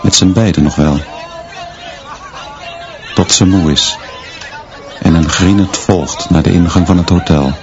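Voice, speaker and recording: Low, slow-spoken stern male teacher. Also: over music, crowd noise. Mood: grim, detached. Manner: terse, measured, clipped sentences. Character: stern, austere male schoolteacher narrating his school year. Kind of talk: literary radio drama narration. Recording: dull sound, noise and murmur behind.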